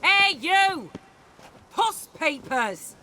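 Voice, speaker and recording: A woman's voice: extremely high pitch, very animated, drawn-out words, pushed and bright, slight background noise, exaggerated voice. A woman playing a pushy, cocky street criminal in a video game, with a British accent and brash, slangy speech.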